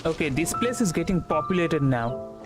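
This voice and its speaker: Higher-pitched man streaming. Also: animated. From India. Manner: halting, repeats words, rambling. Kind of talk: casual gameplay commentary. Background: game music.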